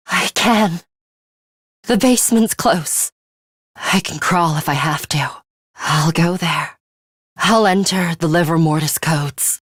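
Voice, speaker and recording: A proud young woman from a dramatic video game. She speaks very slowly and haughtily in a breathy voice, recorded close and dry. Beneath the imperious manner she is fatalistic and quietly caring. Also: sits low, dry recording, heavily acted. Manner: haughty, deliberate, breathy delivery with hushed drops.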